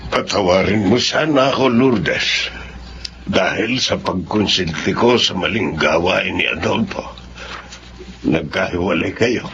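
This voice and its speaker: Slow, remorseful old grandfather. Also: low-pitched, loud music behind the voice. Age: older. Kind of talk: Tagalog radio drama acting. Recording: muffled, clearly noisy radio drama recording.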